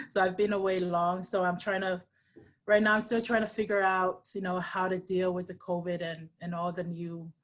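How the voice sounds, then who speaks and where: A woman talks as a webinar guest over a video call, her voice sitting fairly low. She is earnest and unhurried, pausing often and restarting her sentences as she gathers her thoughts. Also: flat delivery. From Samoa. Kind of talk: webinar interview.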